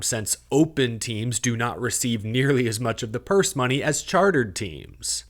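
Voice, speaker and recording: Man, American accent, mid-pitched voice, motorsport show host, scripted recap, explanatory, emphatic delivery. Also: a bit bright. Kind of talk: scripted news recap and commentary to camera.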